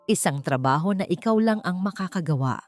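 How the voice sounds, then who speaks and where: A woman's voice: lowish voice, lively intonation, brisk, no echo, close to an everyday voice. A woman voicing a menacing, condescending male boss in an audiobook drama, coaxing and taunting, with music behind. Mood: sly. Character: menacing, manipulative male hotel boss, sneering and bribing.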